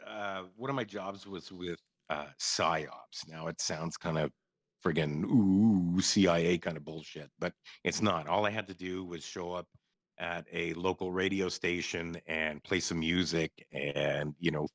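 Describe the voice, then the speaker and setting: A man's voice: low-pitched, no echo. A man from Canada, a former soldier, telling stories on a podcast in a laid-back way, laughing often and swearing casually.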